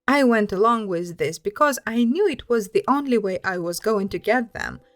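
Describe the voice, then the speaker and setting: A woman's voice: medium pitch, animated, normal speed, no room sound. A woman narrating written personal stories aloud as a host, in a scripted storytelling style.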